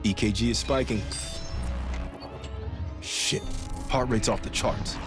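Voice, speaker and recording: Man playing tense, medium-pitched medic. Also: fairly even intonation, slow pace, close to an everyday voice. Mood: alarmed. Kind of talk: video game cutscene acting. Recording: music behind the voice.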